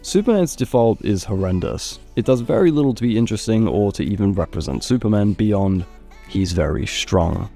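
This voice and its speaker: A man with a fairly low, soft-edged voice narrates a gaming ranking video. His intonation moves up and down a lot as he gives measured, critical opinions from a script. Light music plays behind him. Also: lively intonation. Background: background music.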